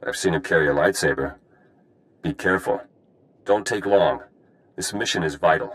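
An actor playing a stern, driven freedom fighter in a video game, with a clipped, businesslike manner. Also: male voice, low-pitched, moderate pace, a bit bright, clean tone. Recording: music playing behind the voice.

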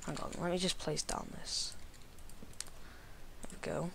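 Slow, gravelly man with a cold. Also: fairly high pitch, little pitch movement, a bit bright, a little hiss or hum. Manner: slow, mumbling, talks to the animals. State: croaky with a cold. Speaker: gaming video creator. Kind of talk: casual gameplay commentary.

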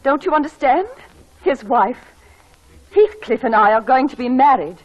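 A woman's voice: sits high, animated, normal speed. An actress playing a haughty, defiant, lovestruck young lady in a prim 1940s radio drama style. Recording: dull old radio broadcast.

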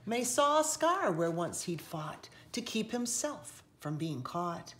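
A woman reading a picture book aloud expressively, with dramatic hushed passages and emphatic rises, recorded indoors by a camera. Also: on the low side, big pitch swings, slow pace, a little hiss or hum. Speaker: children's author reading aloud. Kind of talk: expressive storybook read-aloud. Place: indoors, seated on a sofa in a room.

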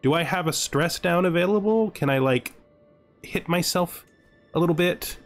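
A man's voice: sits high, normal speed, soft background music. A man giving casual strategy game commentary as host, thinking aloud and reading event text. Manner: thinking aloud, asking himself questions. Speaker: let's-play host.

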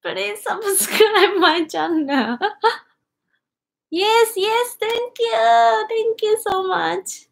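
A young woman with a Japanese accent, chatting on a live stream with a high, bright-pitched voice. She talks slowly, reading viewer comments aloud and answering them with cheerful exclamations. She is in a small room, speaking to a desk webcam. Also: gravelly. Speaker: YouTuber. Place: small indoor room with wooden cabinets.

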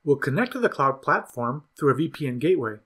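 A man narrating a scripted software tutorial in an even, instructional way. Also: medium pitch, quick pace, breathy edge. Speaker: product demo narrator.

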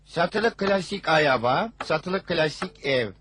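A man voicing a sarcastic young boy in a Turkish cartoon dub, with a wry, deadpan manner. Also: a little high, takes it slowly, breathy edge, full character voice.

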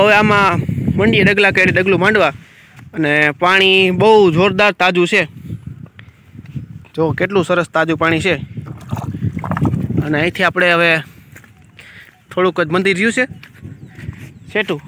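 A man's voice: high-pitched, bright, forceful tone, slight background noise. A man, chatting casually as he walks viewers around a riverside temple on a phone camera. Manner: chatty, pointing things out as he walks. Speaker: village vlogger. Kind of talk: casual guided tour.

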